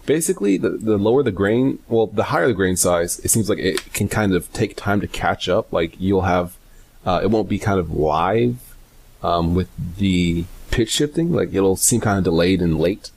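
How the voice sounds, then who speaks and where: A man giving a casual software tutorial, hesitant and informal as he thinks aloud. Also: low-pitched, moderate pace, vocal fry, a little hiss or hum. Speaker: tutorial host.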